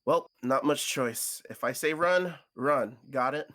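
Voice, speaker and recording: A man's voice: mid-range voice, takes it slowly, fairly bright tone. Guy doing a careful young male buddy for a visual novel, hesitant, kinda nervous, looks out for his friend. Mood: tense, resolved. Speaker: co-reader voicing a character on stream.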